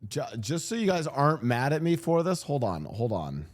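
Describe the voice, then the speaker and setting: A man talking to his live chat in a loose, rambling way, stopping and restarting as he jokes. His voice sits a little high and swings widely in pitch. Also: wildly swooping pitch, moderate pace. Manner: rambling, laughing, joking asides. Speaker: streamer. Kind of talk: casual livestream commentary.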